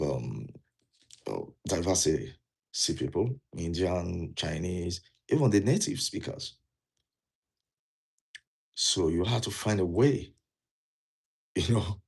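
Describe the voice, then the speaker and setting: A man's voice: deep voice, gravelly, slightly rough. A man from Nigeria with a Nigerian accent, giving earnest, repetitive advice to viewers in a casual talk. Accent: Nigerian English. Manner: earnest, repeats key points, asks the viewer questions. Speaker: vlogger giving immigration advice. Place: indoors, wearing a jacket.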